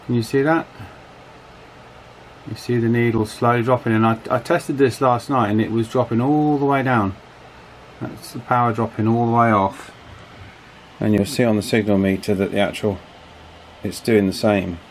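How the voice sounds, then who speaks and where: A man's voice: mid-range voice, steady tone, normal speed. A man giving a casual hands-on repair demonstration, thinking aloud with some hesitation. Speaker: electronics repair hobbyist presenter. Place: small indoor office workshop. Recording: clear background noise, wind from fan. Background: table fan wind noise.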